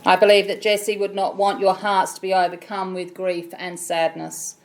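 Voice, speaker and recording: An Australian woman with a loud voice, leading a funeral service as celebrant in a measured, solemn way. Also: mid-range voice, little pitch movement. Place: funeral chapel.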